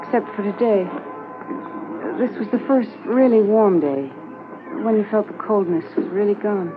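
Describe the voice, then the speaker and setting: A wistful, worried wife played by a radio actress speaks in a thin, band-limited 1950s recording with faint hiss. She is fond and reflective, talking in the conversational manner of old radio drama. Her pitch sits in the middle for a woman. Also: normal speed, natural voice. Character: wistful, anxious, affectionate Mountie's wife. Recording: muffled noisy 1950s radio transcription.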